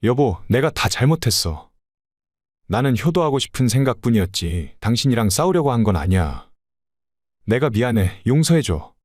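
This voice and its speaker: A man's voice: mid-range voice, very animated, normal speed, darkish tone, dry recording, clean studio sound. A man playing an aggrieved middle-aged husband and mother's boy in an audio drama, emotional and complaining. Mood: pleading.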